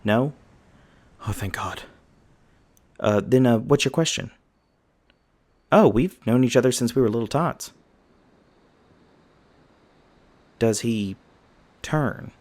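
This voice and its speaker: A man's voice: medium pitch, a little slow, pauses often, a little mellow, natural voice. A man voicing a loyal young mage on lookout in an ASMR roleplay, talking in a casual, wry, reassuring way. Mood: easygoing, wry, then chatty, nostalgic. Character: loyal, chatty young mage lookout, protective old friend.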